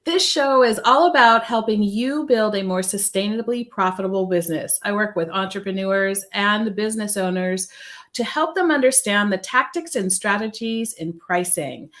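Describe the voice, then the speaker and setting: A woman's voice: medium pitch, normal speed, a bit bright. Upbeat woman hosting a live interview. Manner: upbeat, welcoming, brief acknowledgments. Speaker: podcast host.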